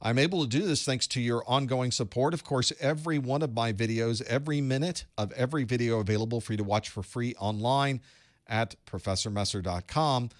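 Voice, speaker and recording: A man's voice: medium pitch, fairly quick, dry recording. A man hosting a live online study group, chatting casually and greeting viewers with light jokes from a studio room. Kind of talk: casual live-stream chat and instruction. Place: home studio room with electronics.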